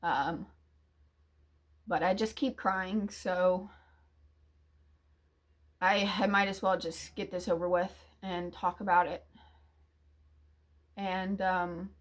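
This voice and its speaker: A woman in a confessional monologue, talking hesitantly and emotionally with many restarts and sighs, sometimes dropping to a quiet low mumble. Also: steady tone, takes it slowly, long pauses. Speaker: YouTuber.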